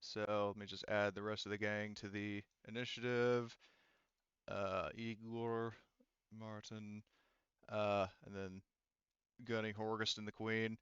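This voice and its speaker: A man's voice: on the low side, level tone, takes it slowly, a bit bright, clear, resonant tone, no echo, highs cut off. A man running a tabletop role-playing game as game master, casually thinking aloud as he handles the rules.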